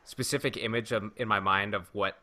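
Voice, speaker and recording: A man with a fairly low, very bright voice talks casually as a podcast host. He tells stories in a halting way, pausing to find his words, with a crisp forward edge to the tone. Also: steady tone. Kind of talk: casual chat, anecdotes.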